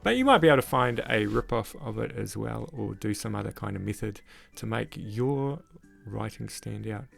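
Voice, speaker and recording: A man with a croaky voice casually narrating a woodcarving tutorial in voice-over, rambling with lots of "sort of, like". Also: lowish voice, animated, moderate pace, hardly any pauses, faint music behind. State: croaky voice after illness. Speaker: woodcarving channel host.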